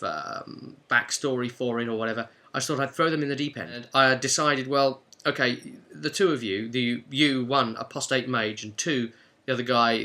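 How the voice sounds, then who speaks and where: A man with a very bright, forceful voice at a middle pitch, narrating a tabletop role-play recap as its host. He tells it informally and expressively, like a game master talking straight to viewers. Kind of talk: animated storytelling recap to viewers.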